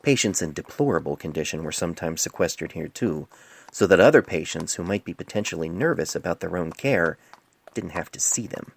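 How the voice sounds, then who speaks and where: A man reading a scripted story as an amateur narrator, measured and literary, recorded on a cell phone microphone. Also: low voice, expressive, vocal fry, husky.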